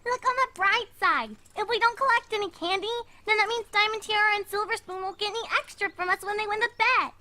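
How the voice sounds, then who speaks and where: A woman voicing young cartoon fillies in a fan fiction reading, squeaky and childlike, with an earnest, plucky delivery. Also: quick pace, few pauses, pushed and bright. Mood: consoling.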